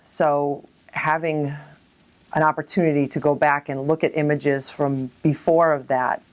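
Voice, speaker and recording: A woman with a very low voice speaks reflectively in an interview setting. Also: flat delivery, measured pace.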